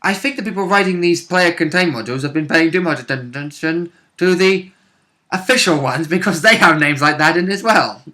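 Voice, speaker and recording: A man's voice: fairly high pitch, brisk, sharp tone. A man in casual, jokey banter as a player recapping a tabletop game, often interjecting and swearing.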